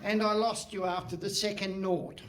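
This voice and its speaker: An actor playing a curt, pompous and impatient police inspector in a comic radio play on stage. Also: male voice, high-pitched, level tone, normal speed, natural voice. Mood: exasperated. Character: bossy, impatient, exasperated male police inspector. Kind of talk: comic live radio theatre. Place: hall stage before live audience.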